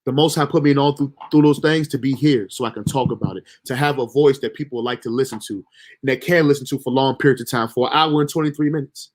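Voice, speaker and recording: A man's voice: mid-range voice, quick pace. A young man hosting a livestream, talking loosely in slang and swearing as he gives himself a pep talk. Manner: loose, slangy, motivational self-talk with profanity. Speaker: livestream host. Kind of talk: casual livestream monologue.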